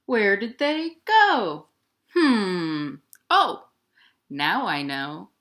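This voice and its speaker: A woman's voice: mid-range voice, very animated, very slow, fairly bright tone. A woman hosting a children's storytime, reading playfully in sing-song rhymes and speaking directly to young children. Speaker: children's librarian, storytime host. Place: at home, indoors.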